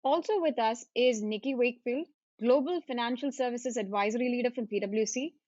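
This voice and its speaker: A woman's voice: on the high side, fairly quick, a little mellow, dry recording. A woman hosting a webinar in a formal, scripted manner, with occasional fillers. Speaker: webinar host, value manager. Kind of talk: webinar moderation. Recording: dull, dry audio.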